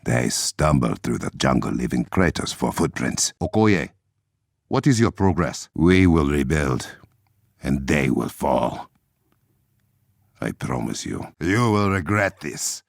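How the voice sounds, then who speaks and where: Super deep raspy african warrior king, noble n formal, video game hero. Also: lively intonation, dry recording, studio quality, full character voice. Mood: calm, resolute, then stern. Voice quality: deep, gravelly. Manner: formal, measured, solemn diction.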